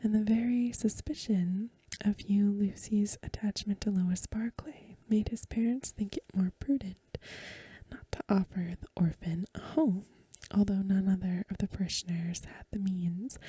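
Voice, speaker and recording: A woman reads a story in a hushed ASMR style. Her voice is whispery and very airy, with a mellow, dark tone. The phrasing is gentle and measured, and the sound is dry and close. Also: mid-range voice, no echo. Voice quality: breathy, whispery soft voice. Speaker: ASMR reader. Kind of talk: soft-spoken ASMR storybook reading.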